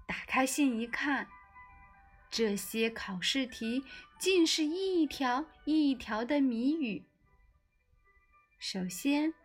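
A woman narrating a children's storybook in an expressive storytelling style. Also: fairly high pitch, husky.

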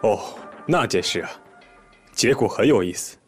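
An actor playing a composed male police detective in an audio drama, explaining things in a measured, methodical, polite way. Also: fairly low pitch, animated, husky, soft background music. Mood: explanatory. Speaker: police investigator questioning a witness.

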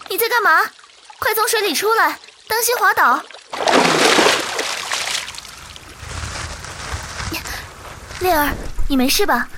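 A woman playing a gentle, caring young disciple in a Chinese martial-arts audio drama. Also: extremely high pitch, noisy background. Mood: worried. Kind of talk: Chinese period radio drama acting.

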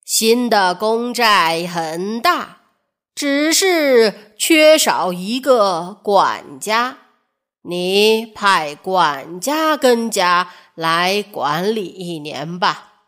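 Woman as a solemn, very slow old chieftain. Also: medium pitch, expressive, voice pushed down. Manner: loud, ceremonious recitation. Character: old dead chieftain's solemn letter, read aloud.

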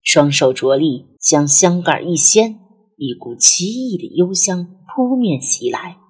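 A woman narrating a scripted ghost story as an audiobook, with an expressive, literary storytelling delivery. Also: lowish voice, slow, husky. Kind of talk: scripted dramatic storytelling, ghost romance novel.

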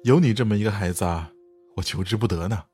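A man acting in a radio drama as a kind, gentle father figure, speaking patiently and soothingly. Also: lowish voice, fast, soft background music, natural voice. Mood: tender, earnest.

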